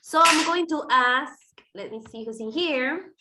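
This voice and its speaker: A woman with a high voice and Spanish as her first language gives instructions to an English class online. She is informal and corrects herself as she goes. Faint noise and clicking sit behind her. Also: measured pace. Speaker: English teacher.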